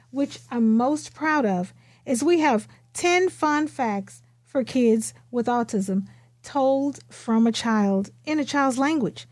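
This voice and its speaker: A woman with a notably high voice speaks as a children's author. Her delivery is expressive and emphatic, made for storybook listeners. The sound is dry, with very little room around it. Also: no room sound. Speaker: author reading own children's book. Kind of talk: expressive storybook read-aloud.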